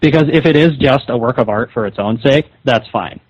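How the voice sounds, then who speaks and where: A man's voice: mid-range voice, fairly quick, slightly rough, dry recording. A man arguing over the phone in an insistent, scolding, confrontational way. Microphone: telephone call. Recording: dull lo-fi phone call recording.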